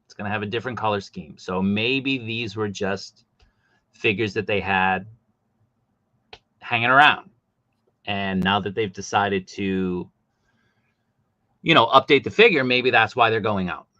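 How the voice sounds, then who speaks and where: A man's voice: fairly low pitch, measured pace, pauses often, pushed and bright, no echo, dull audio. A man hosting a casual chat, thinking aloud and weighing theories. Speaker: host. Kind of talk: casual chat about toy collecting.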